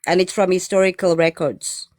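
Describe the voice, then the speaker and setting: A woman's voice: on the low side, fairly even intonation, normal speed, a little mellow. A woman debating on a live stream, persistent and repeating her points as she is talked over. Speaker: debate opponent.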